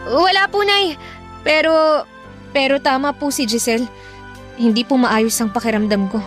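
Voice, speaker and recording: A defiant young daughter in a Filipino radio drama. Her high voice is snappy and whiny, with an anxious edge, over light music. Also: normal speed, a bit bright. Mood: nervous, hesitant. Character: defiant, irritable older sister, young pregnant daughter, anxious.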